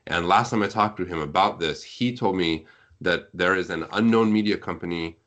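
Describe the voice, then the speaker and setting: Guy with a deep voice chatting on a podcast, explains stuff at length, casual. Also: moderate pace, fairly bright tone. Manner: talkative, explanatory. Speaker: guest on a podcast, web novel translator.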